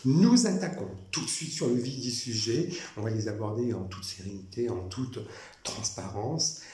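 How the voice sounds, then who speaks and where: A man from France talking in a rambling personal monologue, halting, with many fillers and restarts, repeating himself. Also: medium pitch, expressive, dark tone. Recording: echoey room with faint noise.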